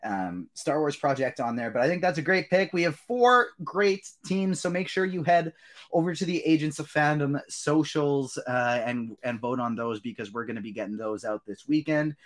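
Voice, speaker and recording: A man's voice: a little high, animated, normal speed. A man hosting a podcast in an upbeat, emphatic, enthusiastic way. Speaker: podcast host.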